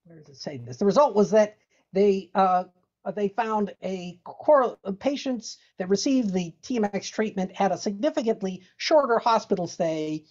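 A woman reading a research paper aloud as a dramatic reader, halting and sometimes losing her place. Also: mid-range voice, animated, a little mellow. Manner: halting, loses place, repeats phrases. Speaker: dramatic reader at a comedy science session. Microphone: video call. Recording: dull, lo-fi video call audio.

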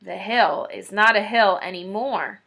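A woman in her thirties reading a children's book aloud in an animated, dramatic way. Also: mid-range voice, unhurried, vocal fry. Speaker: children's story reader. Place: small indoor room, classroom-like decor.

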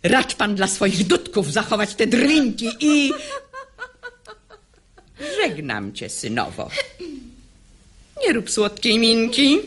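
A woman's voice: fairly high pitch, big pitch swings, takes it slowly, pauses often, fairly bright tone, heavily acted. An actress playing a sanctimonious, imperious old grandmother in a radio verse comedy, preachy and haughty. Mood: scornful. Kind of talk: classic stage comedy radio acting in verse.

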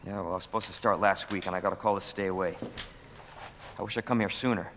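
An actor playing a young male rookie police officer, dutiful and urgent, often shouting under pressure. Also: low-pitched, steady tone, fast talker. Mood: somber. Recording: game soundtrack with heavy background noise.

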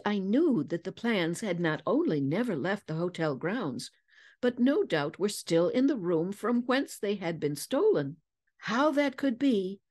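Woman acting shrewd female detective, self-assured, declamatory, lifted pitch, storybook reading. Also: a little mellow. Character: confident, shrewd woman detective explaining her deductions.

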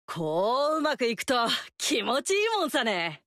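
A woman's voice: a little high, big pitch swings, unhurried, a bit bright, dry recording, studio quality. An actress playing a fiery, confident warrior queen in a video game, with brash, teasing, tomboyish lines. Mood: proud.

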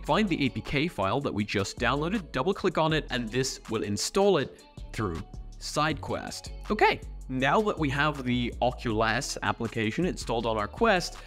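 A man's voice: mid-range voice, fairly bright tone. Young man explaining a VR tutorial. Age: young adult. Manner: explanatory, step-by-step instructions. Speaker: VR tech YouTuber. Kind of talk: tech tutorial. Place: small home studio room with neon light.

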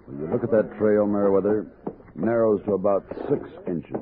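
An actor with an American accent playing a commanding, anxious frontier army captain in a 1960s radio drama, with a brisk manner. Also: male voice, on the low side, lightly acted. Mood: concerned. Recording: noisy, narrow old radio recording.

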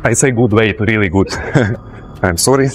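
A man's voice: lowish voice, unhurried, rough recording. A young man posing as a cleaner in a gym, apologizing and giggling constantly in broken English. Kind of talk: hidden-camera prank. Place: large indoor gym. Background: music.

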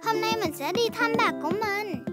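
A woman's voice: very high voice, normal speed. A woman voicing an eager, bossy little girl pig in a children's cartoon dub, explaining things like a big sister. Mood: theatrical. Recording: music behind the voice.

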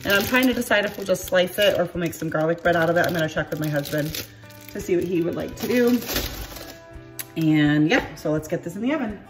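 A woman's voice: on the low side, animated, fast talker, far from the mic, soft background music. A woman in her thirties, congested, chatting casually and self-deprecatingly as she cooks dinner at home. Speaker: home cooking vlogger. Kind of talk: casual chatty cooking narration. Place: home kitchen and outdoor patio.

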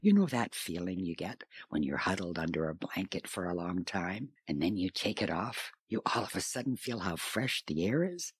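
Deep-voiced, folksy small-town mayor woman. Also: expressive, normal speed, hardly any pauses, slightly rough, no room sound, full character voice. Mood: reflective, explanatory. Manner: folksy, wry, chuckling storyteller. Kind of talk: audio drama interview acting.

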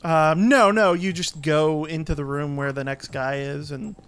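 Higher-pitched man explaining a video game. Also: steady tone, a little slow, fairly bright tone, clear, resonant tone, soft background music. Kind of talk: casual gameplay commentary.

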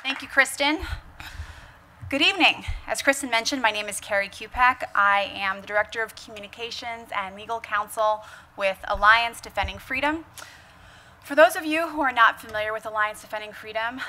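A woman in her thirties with an American accent gives a formal prepared speech at a podium. Her voice is fairly high and bright. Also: moderate pace. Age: 30s. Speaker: communications director, guest speaker. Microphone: podium microphone.

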